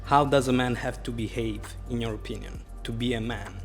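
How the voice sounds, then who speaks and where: A man's voice: mid-range voice, brisk, faint music behind. A man speaking English as a second language as host, asking leading, coach-like questions in a casual interview. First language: Italian.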